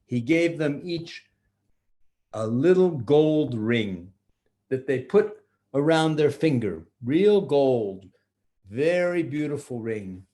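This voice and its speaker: An older man, a grandfather, improvising a bedtime story for children in simple, repetitive phrases with drawn-out words. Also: mid-range voice, animated, dark tone.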